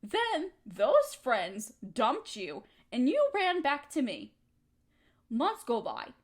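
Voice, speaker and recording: A woman's voice: high voice, huge pitch swings, takes it slowly, exaggerated voice. A woman playing a hurt, resentful young woman confronting her roommate in an emotional, accusatory audio roleplay monologue. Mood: bitter, reminiscing. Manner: emotional, accusatory, pointed rhetorical questions.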